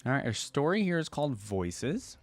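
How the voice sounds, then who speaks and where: A man's voice: mid-range voice, sing-song, normal speed. A man hosting a reaction video, talking casually and reading a story aloud.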